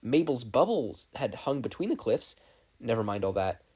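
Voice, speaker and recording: A narrator with a husky, slightly rough voice at a middling pitch reads fiction aloud. The delivery is scripted and expressive, shaped as storytelling. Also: moderate pace. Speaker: podfic narrator.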